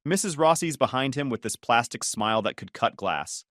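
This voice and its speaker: A man tells his own story with wry sarcasm. His pitch rises and falls a lot as he speaks. The recording is close and dry, with no room sound. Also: lively intonation, normal speed, a bit bright, no room sound. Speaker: narrator. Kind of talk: first-person story narration.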